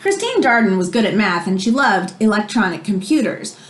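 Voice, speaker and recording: A woman reading a children's book aloud in an expressive way, as if explaining it to young listeners. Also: medium pitch, a little fast, slight background noise. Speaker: read-aloud storyteller.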